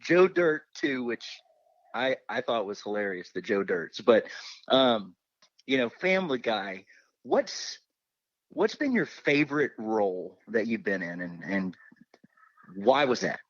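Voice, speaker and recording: Animated male co-host, dull lo-fi audio. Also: lowish voice, measured pace, no echo. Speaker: co-host interviewer. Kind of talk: casual interview chat.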